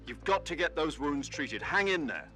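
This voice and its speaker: A man's voice: a little high, sing-song, normal speed, low-quality recording. An actor playing a commanding officer on the radio, urgent and reassuring as he directs an injured agent. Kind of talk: video game cutscene acting. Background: music.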